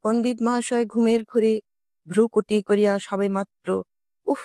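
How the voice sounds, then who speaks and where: A woman narrating a scripted story expressively, in a measured storytelling manner with formal literary diction. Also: a little high, animated, gravelly, a little mellow, dry recording, studio quality. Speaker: audiobook narrator.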